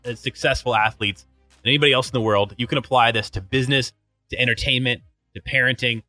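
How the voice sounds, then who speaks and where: An upbeat man co-hosting a coaching podcast as its producer. His voice is bright and forceful, recorded dry and close, with faint music playing behind him. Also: medium pitch, fairly even intonation, fast, no room sound. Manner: enthusiastic, upbeat. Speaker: producer and co-host. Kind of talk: casual podcast talk.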